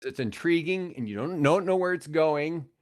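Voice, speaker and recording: A man's voice: a little high, normal speed. A man in his sixties with an American accent, chatting and telling stories casually as a podcast guest, with an opinionated manner. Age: 60s. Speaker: podcast guest, radio host. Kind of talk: casual chat, rambling storytelling.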